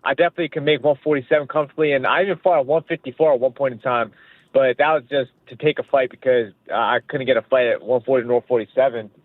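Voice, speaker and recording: A man's voice: mid-range voice, fast, no echo. A young man from Pakistan, a boxer being interviewed over the phone, talking casually and confidently and often repeating himself. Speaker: boxer guest. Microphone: phone line. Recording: phone-like narrow line, dry.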